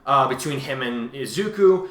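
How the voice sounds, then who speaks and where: A man's voice: medium pitch, animated, moderate pace, fairly bright tone. A man hosting a casual discussion of his own writing, rambling and often correcting himself as he goes. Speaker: host, fan fiction author and reader. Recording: echoey room.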